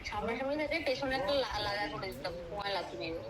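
A woman's voice: mid-range voice, animated, quick pace, crowd noise. A young woman bantering casually on a call, evasive and playfully sarcastic. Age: young adult. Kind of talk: casual bantering phone chat.

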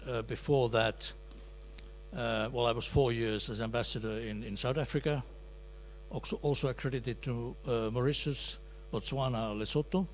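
A middle-aged man, a diplomat, introducing himself at a workshop in a small meeting room, relaxed and joking, into a handheld mic. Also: medium pitch, a little hiss or hum. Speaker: special envoy, diplomat.

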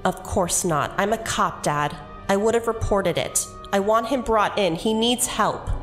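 A woman's voice: medium pitch, measured pace, fairly bright tone, soft background music, natural voice. A woman playing a firm, defiant police officer in an audio drama, speaking curtly. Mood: defensive. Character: defiant, firm policewoman, the commissioner's adult daughter.

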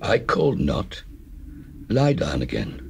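An actor playing an old priest in a dramatized Bible, slow, solemn and breathy. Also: male voice, extremely low pitch, huge pitch swings, heavily acted. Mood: gentle, weary. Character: frail old priest, gentle and resigned. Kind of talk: dramatized Bible audio acting. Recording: noisy old cassette recording.

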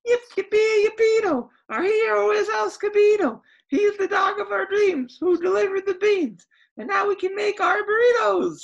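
A storyteller voicing a pack of small excited chihuahuas in a shrill cheering chant. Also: normal speed, gravelly, muffled recording. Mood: jubilant. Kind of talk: read-aloud storybook voice.

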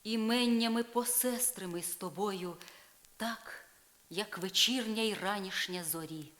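An actress playing a proud, jealous, sorrowful spurned wife in a solemn verse drama, shifting from breathy hush to declamation. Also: female voice, medium pitch, unhurried, natural voice. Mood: solemn, mournful. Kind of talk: dramatic poetry reading, slow and solemn. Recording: vinyl record rip.

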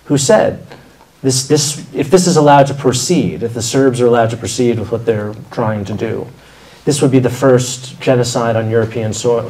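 A man's voice: mid-range voice, dark tone, low-quality recording. Articulate man in his thirties questioning. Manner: articulate, lengthy questions with small restarts. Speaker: moderator. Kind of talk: interview questions at a panel. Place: formal room with audience. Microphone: table microphone.

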